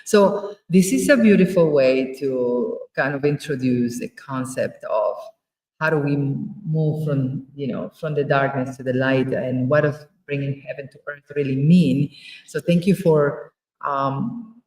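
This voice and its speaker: A woman's voice: very deep voice, unhurried, darkish tone, roomy sound, distant mic, rough recording. A woman from Ecuador hosting a podcast, prompting her guest with encouraging questions and laughing along. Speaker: podcast host. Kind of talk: casual interview chat.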